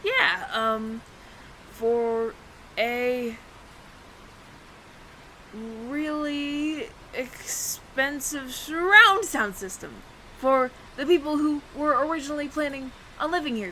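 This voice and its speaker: A woman's voice: sits high, measured pace, bright, forceful tone, slight background noise, rough recording. A woman playing a nervous, evasive alien roommate in an audio roleplay, stammering and over-explaining to the listener. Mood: evasive, improvising.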